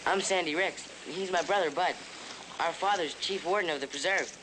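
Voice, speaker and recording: A man's voice: fairly high pitch, normal speed, lightly acted. A young male actor playing a sensible, polite older brother in a television drama, earnest and matter-of-fact. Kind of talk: acted television drama dialogue. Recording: noisy outdoor boat and water ambience.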